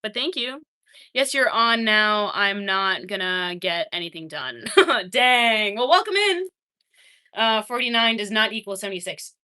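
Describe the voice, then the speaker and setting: A young adult woman streaming, casually chatting with her viewers and reading their messages, laughing often and swinging between excited and quiet. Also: mid-range voice, measured pace. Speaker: livestreamer reading chat. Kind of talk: casual livestream chat.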